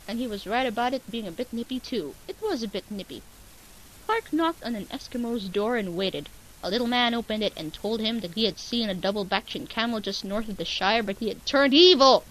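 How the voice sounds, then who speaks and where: A woman's voice: fairly high pitch, fairly bright tone, low-quality recording. A woman narrating a fan fiction as a comic dramatic reading, with playful, exaggerated storytelling. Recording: hissy amateur home recording.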